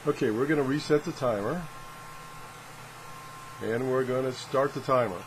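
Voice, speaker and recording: A man's voice: medium pitch, moderate pace, a little hiss or hum, low-quality recording. A man giving a casual, unscripted product demonstration, rambling and thinking aloud in a good-humored, self-deprecating way. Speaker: host of a radio product test video.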